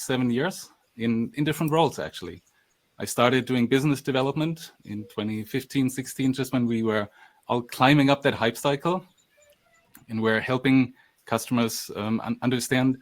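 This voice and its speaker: Earnest, fluent man on a panel. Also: mid-range voice. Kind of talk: self-introduction on a panel.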